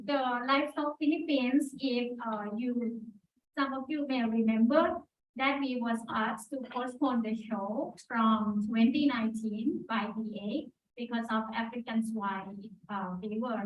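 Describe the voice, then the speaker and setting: A woman from Thailand speaks at a press conference, pausing often with fillers as she gathers her words. Her voice sits a little high, and it comes from some way off the mic. The echoey room and dull sound make it feel captured from across a hall. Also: distant mic, slight background noise. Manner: halting, searching for words, many fillers. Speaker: exhibition organizer spokesperson.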